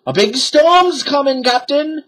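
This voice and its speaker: A man's voice: very high voice, lively intonation, a little slow, a bit bright, slight background noise, pitched above the performer's own voice, heavily acted. A man voicing a whiny, nervous pirate sailor in a storybook, with a whining voice.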